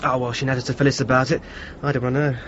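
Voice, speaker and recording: A man's voice: medium pitch, little pitch movement, rapid-fire, close to an everyday voice. An actor playing an easygoing, practical young working-class man with a southern English accent, in a radio drama. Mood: dismissive, practical. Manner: casual, placating. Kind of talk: British radio drama acting. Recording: muffled, noisy vintage radio recording.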